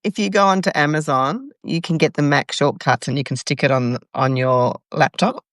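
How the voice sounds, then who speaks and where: A woman co-hosting a casual chat, relaxed and agreeable, laughing easily. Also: sits low, normal speed, dry recording, clean studio sound. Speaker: podcast co-host.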